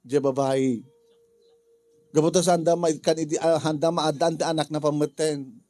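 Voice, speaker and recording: A man with an Ilocano Filipino accent talking casually through a drama story in a halting, rambling, jokey way. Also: a little high, steady tone, dark tone, dry recording. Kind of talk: casual spoken story briefing.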